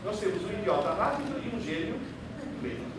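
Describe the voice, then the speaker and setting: A man's voice: high-pitched, very animated, fairly quick. A man who learned Portuguese as a second language gives a theatrical, sarcastic lecture to an audience in an auditorium. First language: not Portuguese. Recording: echoey hall, distant mic, noisy.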